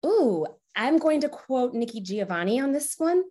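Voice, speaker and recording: A woman's voice: high voice, sing-song, moderate pace, a little mellow. A woman speaking casually as a podcast guest, earnest and encouraging in her answers. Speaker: podcast guest, corporate strategy director.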